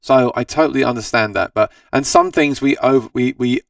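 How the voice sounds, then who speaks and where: A man's voice: medium pitch, brisk, gravelly, dry recording, clean studio sound, far from the mic. A man hosting a casual live stream about guitars, answering viewer comments in a rambling, self-correcting way, his voice tired and scratchy. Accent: Australian. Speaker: host of a live gear Q&A stream.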